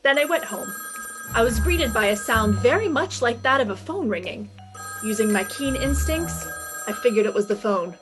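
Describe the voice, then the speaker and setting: A woman playing a high-voiced, wisecracking lady detective. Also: expressive, normal speed, music in the background, lightly acted. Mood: wry narrating. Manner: wisecracking, breezy. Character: witty, flirtatious, self-assured female private eye. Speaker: lead actress and first-person narrator. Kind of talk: 1950s radio detective play acting.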